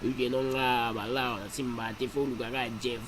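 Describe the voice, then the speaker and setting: A man's voice: medium pitch, level tone, normal speed, noisy background, lightly acted. A man with a Congolese accent playing a rambling cartoon character who repeats slangy interjections. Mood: protesting. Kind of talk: animated cartoon dialogue in Lingala and French.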